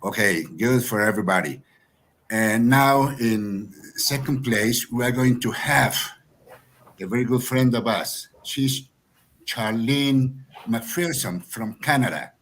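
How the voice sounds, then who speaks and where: A man's voice: medium pitch, a bit bright. A man hosting an event, introducing a guest in careful, English. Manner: slow, deliberate, non-native English. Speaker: event host. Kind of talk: introducing a speaker.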